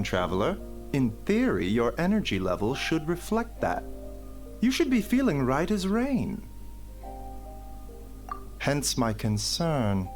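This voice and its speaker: A man voicing a gentle, thoughtful physician in a video game, measured and articulate, with music behind him. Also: mid-range voice. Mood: pensive, examining. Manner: measured, articulate, drawn-out musing.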